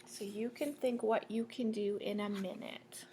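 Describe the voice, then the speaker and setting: A woman reading a children's picture book aloud like a teacher, in a measured, sing-song storytelling way. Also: medium pitch, steady tone, dark tone, slight background noise. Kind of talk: children's read-aloud storytelling with asides to listeners.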